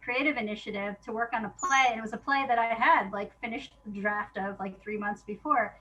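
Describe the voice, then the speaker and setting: A woman's voice: fairly high pitch, brisk, bright, forceful tone, slight background noise, low-quality recording. A woman guest chatting casually in an interview, thinking aloud in an informal, hesitant way. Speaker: guest playwright.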